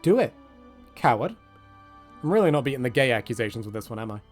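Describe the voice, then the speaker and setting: A man's voice: medium pitch, sing-song, fast, over loud music. A man giving casual, opinionated video game commentary in a rambling, joking, self-deprecating and slangy way. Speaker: gaming video creator.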